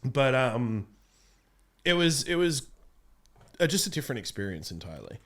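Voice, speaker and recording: A man's voice: mid-range voice, big pitch swings, normal speed, a bit bright. A man in his thirties chatting casually as an interview guest, rambling and interrupting himself. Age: 30s. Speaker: voice actor being interviewed. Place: small room studio, indoors. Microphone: close podcast microphone.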